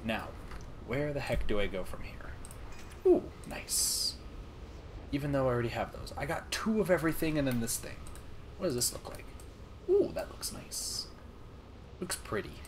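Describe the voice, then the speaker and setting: A young man streaming a game late at night, talking softly and calmly, almost whispering so as not to wake anyone. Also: mid-range voice, moderate pace, pauses often, slight background noise. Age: young adult. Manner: soft, calm, near-whispering. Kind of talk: casual gaming stream chat. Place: room at home, late at night.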